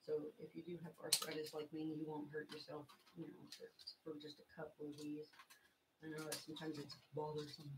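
A woman's voice: deep voice, monotone, brisk. A middle-aged woman from Texas with a scratchy voice from being sick, chatting casually as she demonstrates a craft project on a home livestream in a small craft room, filmed by a camera across her worktable. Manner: chatty, rambling, stops to explain each step. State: scratchy voice from illness.

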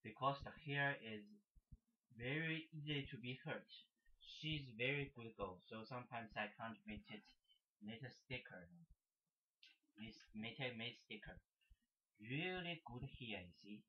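A young man from Shanghai, a native Mandarin speaker, rambles casually in halting, repetitive broken English with a Chinese accent. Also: medium pitch, breathy edge. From Shanghai, China. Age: young adult. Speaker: amateur painter demonstrating his work. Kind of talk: casual rambling demonstration to camera. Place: small indoor room. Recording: dull lo-fi webcam sound.

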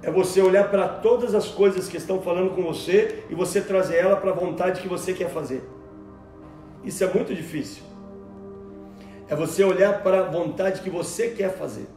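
A man in his forties preaching a sermon from a table at home, animated and repeating words as he searches for them. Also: sits high, brisk, roomy sound, soft background music. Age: 40s. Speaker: pastor. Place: room at home, at a table with Bibles.

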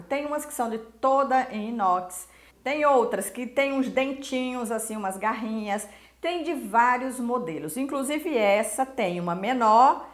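High-voiced Brazilian woman, roomy sound. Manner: chatty, emphatic, addresses viewers directly. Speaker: cooking show host. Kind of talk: casual instructional talk to camera. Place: small indoor kitchen. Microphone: lapel mic. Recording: roomy kitchen echo.

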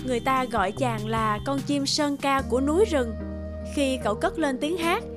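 A woman narrating a fairy tale for a cartoon in a smooth storytelling voice. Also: high-pitched, normal speed. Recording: narration over loud music.

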